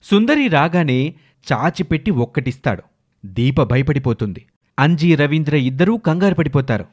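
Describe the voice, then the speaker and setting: A man's voice: fairly high pitch, lively intonation, normal speed. A man narrating a scripted dramatic story as its storyteller.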